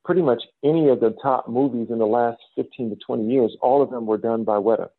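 A middle-aged American man from Chicago giving explanatory, measured answers as an interview guest, often dropping in "you know". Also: medium pitch, dry recording, narrow, tinny audio. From south side of Chicago, United States. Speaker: interview guest, business school dean.

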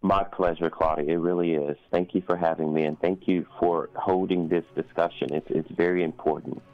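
Male interview guest, American, low register. Thoughtful, deliberate answers with pauses, somewhat lo-fi sound. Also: steady tone. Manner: measured, deliberate, pausing. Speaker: interview guest, opera performer and activist. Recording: dull, band-limited sound.

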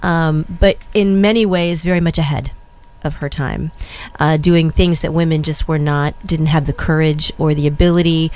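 A woman's voice: low-pitched, fairly even intonation, normal speed, darkish tone. Chatty, enthusiastic woman host. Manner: chatty and enthusiastic, many "you know" fillers. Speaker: interview host. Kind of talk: conversational interview.

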